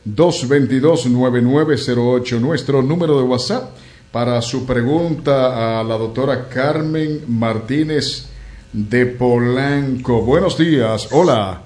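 Man, radio host, mid pitch, brisk, reads number, takes calls. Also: a little mellow. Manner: brisk announcer reading the contact number and taking calls.

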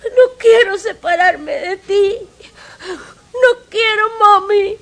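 A tearful little boy clinging to his mother, played by a woman, with a very high, bright voice. He speaks slowly, sobbing and begging between words. A radio-drama child role. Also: steady tone, full character voice. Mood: crying.

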